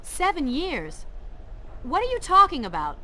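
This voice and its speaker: A woman's voice: high voice, wildly swooping pitch, measured pace, sharp tone, a little hiss or hum, full character voice, poor audio. An actress playing a brave, compassionate young woman, a frank and earnest companion in a video game. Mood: curious, teasing. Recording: clear background game ambience.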